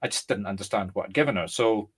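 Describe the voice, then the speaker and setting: A Scottish man, a medium and co-host, chatting casually and explaining through lively examples. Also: on the low side, fast, breathy edge. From Scotland. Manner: animated, explains through examples and acted-out quotes. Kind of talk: casual conversation.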